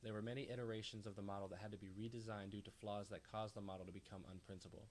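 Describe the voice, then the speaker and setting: Young male engineering student, slightly low voice, speedy scripted presentation delivery. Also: flat delivery, very fast. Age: young adult. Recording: faint background noise.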